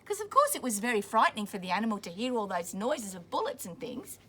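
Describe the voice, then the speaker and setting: A woman's voice: fairly high pitch, big pitch swings, sharp tone, a little hiss or hum. A woman reads a picture book aloud to children, stopping to explain words in plain terms. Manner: pauses to explain words simply. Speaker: school staff member reading aloud.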